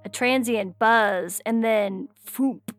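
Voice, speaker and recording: A woman's voice: a little high, slow, soft background music. A woman playing a weathered, eccentric hacker, sly and cryptic, speaking theatrically in a dramatized science fiction audiobook. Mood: sly, eerie.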